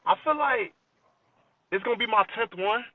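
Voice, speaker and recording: A man's voice: sits high, animated, moderate pace, a little hiss or hum. American guy late 20s, slangy podcast guest chat, sounds muffled and off the mic. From Chicago, USA. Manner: informal, slangy, frequent check-in tags. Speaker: rap artist, interview guest. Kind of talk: casual podcast interview chat. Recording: dull lo-fi sound, distant from mic.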